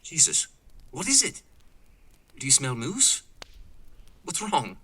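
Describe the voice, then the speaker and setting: An actor playing a skeptical, companionable camper in an audio horror drama, with a genteel, inquisitive manner. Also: male voice, a little high, huge pitch swings, slow pace, pushed and bright, breathy edge, heavily acted. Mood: startled concern. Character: skeptical, companionable city-bred male camper in the wilderness.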